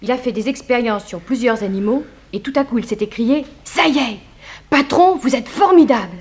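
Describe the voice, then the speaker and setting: An actress voices an eager young maid from a vintage French radio thriller. Her voice is fairly high. She reports like an excited little informer. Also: normal speed, natural voice. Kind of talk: 1960s French radio drama acting.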